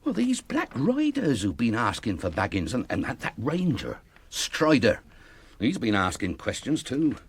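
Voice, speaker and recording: Radio fantasy role: forgetful, well-meaning English innkeeper. Stammering, rambling, husky, with lively swings in pitch. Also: male voice, unhurried, full character voice. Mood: apologetic, anxious. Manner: bumbling, rambling, stammering rustic talk. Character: flustered, apologetic, forgetful fat innkeeper, loyal and well-meaning. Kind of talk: British fantasy radio drama acting.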